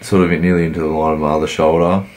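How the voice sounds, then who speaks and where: A man, croaky from a cold, giving laid-back, rambling voice-over commentary on his workout. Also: a bass voice, level tone, fast, darkish tone. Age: 20s. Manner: laid-back, rambling, many fillers and restarts. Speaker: fitness vlogger.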